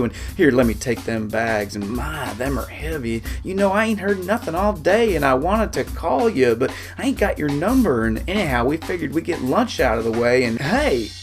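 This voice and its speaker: A man's voice: fairly high pitch, pitched above the performer's own voice. A man voicing an eager, rambling cowboy who pours on folksy charm in an audiobook. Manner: gushing, folksy, run-on politeness.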